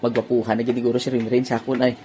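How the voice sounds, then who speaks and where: A woman's voice: rumbling low, little pitch movement, racing. A woman acting a lovesick part in an amateur audio drama, playful and teasing, then heartbroken and pleading.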